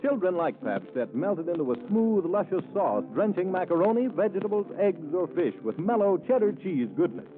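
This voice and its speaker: A man's voice: high voice, sing-song, normal speed, hardly any pauses. A man reading a scripted 1940s radio advertisement in an enthusiastic, polished selling manner. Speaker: commercial announcer. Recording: tinny 1940s broadcast with music.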